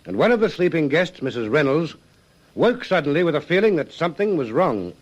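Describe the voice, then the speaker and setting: A male narrator of a vintage radio crime series, his voice a little high. He reads formally, with grave, measured emphasis. The sound is dry and muffled. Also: very animated, no echo. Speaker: narrator of a police drama series. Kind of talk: formal scripted 1950s-style radio narration.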